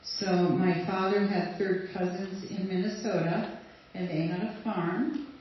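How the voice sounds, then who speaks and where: A woman's voice: on the low side, steady tone, unhurried, slight background noise. An older woman from Sweden telling casual, rambling stories to an audience, with fillers and light laughs. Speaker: guest speaker sharing memories. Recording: distant, dull, very roomy recording.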